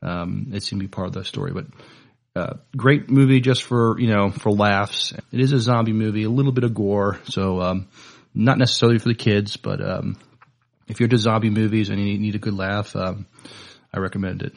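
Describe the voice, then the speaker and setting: A man co-hosting a podcast in casual chat, with a halting, hesitant delivery. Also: on the low side, fairly even intonation, creaky. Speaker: podcast co-host.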